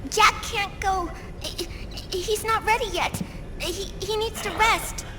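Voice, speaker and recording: A timid, protective little girl in a game cutscene. Her tiny voice is extremely high, slow and stammering, with clear ambient noise behind it. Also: steady tone, sharp tone, full character voice. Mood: anxious pleading. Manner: stammering, hesitant. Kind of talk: dramatic video game cutscene acting.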